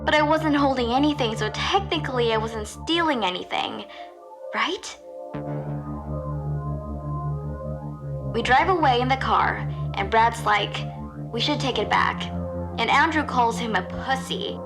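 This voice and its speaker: A high school girl in an audio drama, confessing her story in a fairly high voice. She is nervous and hesitant, talking in loose teenage phrases. Clear music plays behind her. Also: lively intonation, moderate pace. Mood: anxious. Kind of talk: first-person confessional audio drama monologue.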